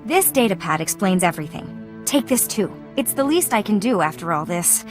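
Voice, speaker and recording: A woman's voice: mid-range voice, expressive, moderate pace, close to an everyday voice. An actress playing an idealistic, headstrong young female activist in a video game. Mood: apologetic, flustered. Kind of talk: video game character acting. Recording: music under the voice.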